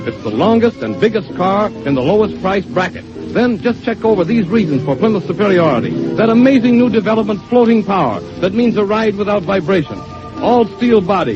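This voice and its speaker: High-voiced 1930s radio pitchman. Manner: emphatic, salesmanlike. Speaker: sponsor's radio spokesman. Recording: dull 1932 radio recording.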